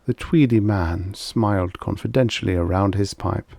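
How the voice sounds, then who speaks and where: A man's voice: on the low side, moderate pace, vocal fry, a little mellow, no echo, clean studio sound. A man reading a vintage science fiction short story as scripted audiobook narration.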